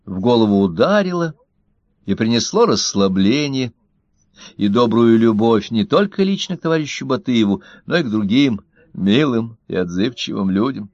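Brief: male storyteller, animated ironic reading, dull close recording. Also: mid-range voice, normal speed, dry recording. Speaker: narrator. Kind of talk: audiobook reading.

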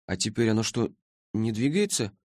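A man's voice: fairly low pitch, sing-song, moderate pace, darkish tone, no room sound, clean studio sound, voice pushed up. A man voicing a polite, bewildered schoolboy time traveller in an audiobook, hesitant and earnest. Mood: puzzled.

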